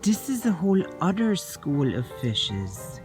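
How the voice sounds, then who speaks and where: A wide-eyed young unicorn voiced by a woman drifts through a nature walk, marveling at everything. The voice sits low for a woman but swings with lively, sing-song rises and falls. The delivery is slow and soft-spoken, like a gentle tour for children. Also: music in the background. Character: gentle young toy unicorn, curious and wonder-struck. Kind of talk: playful toy narration for children. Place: outdoors by a pond.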